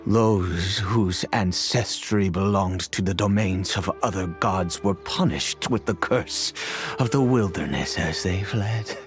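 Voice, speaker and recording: An actor playing a weary, bitter, cursed immortal nobleman and grieving father in a video game, with a theatrical, halting, anguished delivery. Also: sits low, faint music behind. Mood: grave, resentful. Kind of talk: dramatic video game voice acting.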